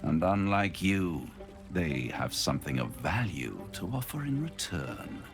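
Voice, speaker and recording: Theatrical, deep-voiced scheming devil. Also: male voice, big pitch swings, a little slow, creaky, music in the background, heavily acted. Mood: silky, ominous. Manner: theatrical, silky, grandiose. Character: suave, scheming male devil offering bargains. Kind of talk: video game cutscene acting.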